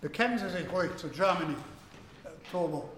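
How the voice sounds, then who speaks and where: An actor playing a wordy, probing seminar lecturer in a radio drama, with an academic, halting manner. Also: male voice, sits high, lively intonation, a bit bright. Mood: analytical, measured. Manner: academic, wordy, hesitant pauses. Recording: echoey room with faint noise.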